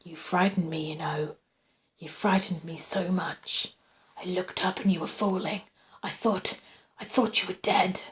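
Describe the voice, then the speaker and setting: A woman performs an obsessive man lurking in the shadows of a suspense story. He speaks in a soft, breathy whisper, slowly and intimately. His tone is commanding yet gentle, and full of menace. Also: medium pitch. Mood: soft, intense. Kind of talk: suspense audiobook character.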